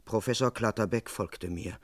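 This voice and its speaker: An actor playing a dutiful London police constable in a crime radio play, speaking in a measured, formal, precise and officious way. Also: male voice, lowish voice, dry recording, studio quality, natural voice. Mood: measured, suspenseful. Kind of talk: German crime radio play, first-person narration and dialogue.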